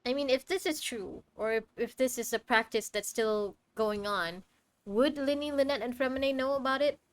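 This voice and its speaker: A woman's voice: on the high side, moderate pace, no echo. Chatty young woman streamer musing aloud. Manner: chatty, thinking out loud, self-correcting. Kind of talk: casual game commentary.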